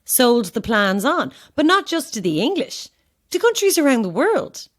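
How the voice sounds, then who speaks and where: A woman's voice: on the high side, very animated, normal speed. A woman with an Irish accent playing a curious, easygoing tourist in a naturalistic audio horror drama, conversational and thoughtful. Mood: intrigued, reading. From Ireland.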